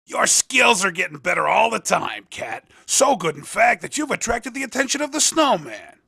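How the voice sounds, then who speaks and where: Raspy, slangy hustler. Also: male voice, high voice, sing-song, moderate pace, pushed and bright, full character voice. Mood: coaxing, slick. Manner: slangy, coaxing salesman patter. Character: Skid, a hustling male street racing recruiter, cocky and slangy. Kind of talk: video game character dialogue.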